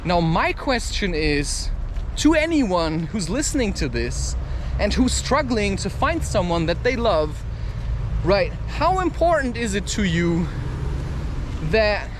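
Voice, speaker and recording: A man giving a rambling, confessional, questioning monologue as he walks outdoors. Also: high-pitched. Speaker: solo vlogger. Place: outdoors, walking in a public area. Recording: noisy outdoor street ambience.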